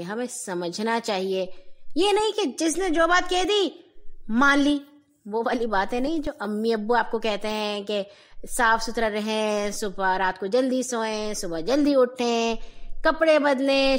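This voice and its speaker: A woman narrates moral tales for young children. Her voice sits on the high side and she takes her time with every phrase. She is expressive and teacherly, addressing her young listeners directly. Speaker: storyteller for children.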